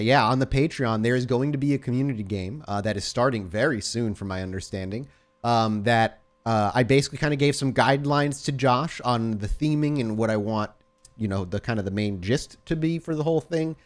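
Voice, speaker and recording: A man's voice: mid-range voice, fairly quick. A man speaking as a podcast host and game storyteller, with an informal delivery. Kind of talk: casual podcast chat, then slow scene narration for a role-playing game.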